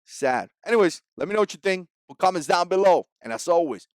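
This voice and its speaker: Man, 40s, Cuban Spanish accent, high voice, emphatic and repetitive, dry close sound. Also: animated, fast, no echo. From Cuba. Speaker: vlogger. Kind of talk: opinion commentary vlog.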